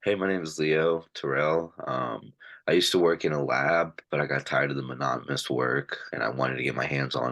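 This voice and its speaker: A man's voice: a bass voice, a bit bright. A man giving an easygoing self-introduction to an online class over a video call. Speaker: student.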